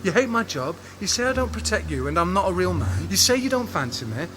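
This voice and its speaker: A man's voice: high voice, sing-song, quick pace, noisy background. A man playing a hurt, sensitive but stubborn boyfriend in an audio drama, earnest and emotional. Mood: bewildered, anguished. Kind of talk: audio drama acting, intimate dialogue. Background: music.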